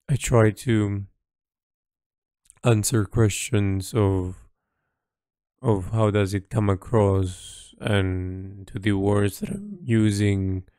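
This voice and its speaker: A man recording a reflective, unscripted audio diary as an artist, speaking in a halting, thoughtful way with pauses mid-phrase. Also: lowish voice, a little mellow, clear, resonant tone, dry recording, studio quality. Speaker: artist keeping an audio log.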